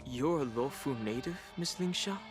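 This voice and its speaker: A man's voice: medium pitch, expressive, moderate pace, lightly acted. A man voicing a reserved, courteous young traveler in a fantasy game, polite and matter-of-fact. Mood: reserved, calm. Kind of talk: scripted video game voice acting. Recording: game music behind the voice.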